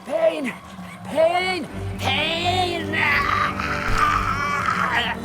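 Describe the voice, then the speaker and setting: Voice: high-pitched, very slow. An actor playing a scheming, needy worm creature in a video game, theatrical and wheedling, speaking in clipped broken phrases. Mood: agonized, pleading. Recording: loud music underneath. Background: music.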